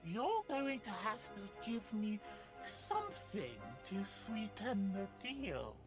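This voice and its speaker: A woman's voice: medium pitch, big pitch swings, slow pace, over music. A woman voicing a greedy, easily enraged female monster bandit in a video game, taunting and melodramatic. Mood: mocking, scheming.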